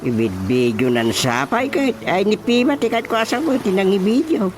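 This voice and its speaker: Excitable gossiping villager, swinging pitch. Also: male voice, moderate pace, background noise. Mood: grumbling gossip. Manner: exclamatory, repeated interjections. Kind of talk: comedic radio drama acting. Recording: music bed behind voices.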